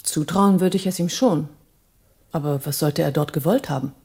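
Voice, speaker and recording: An actress playing a direct, guarded and inquisitive woman with a husky, mellow voice in a radio drama. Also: low-pitched, normal speed. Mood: questioning, guarded.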